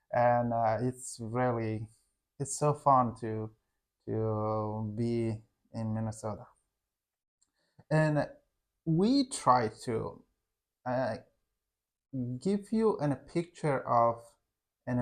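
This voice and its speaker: Halting man chatting casually. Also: medium pitch. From Afghanistan. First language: Hazaragi. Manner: halting, searching for words, frequent "like". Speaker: English teacher and channel co-host. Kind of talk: casual conversation with his wife for learners.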